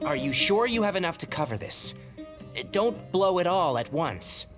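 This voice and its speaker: A man voicing a calm, observant young companion in an anime video game, measured and thoughtful. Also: sits high. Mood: concerned. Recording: guitar music behind.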